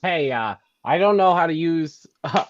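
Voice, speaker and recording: A man's voice: a little high, lively intonation, unhurried. A man playing a sarcastic, aggrieved computer technician in a script table read, with a hesitant delivery. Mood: defensive. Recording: dull lo-fi mic with faint noise.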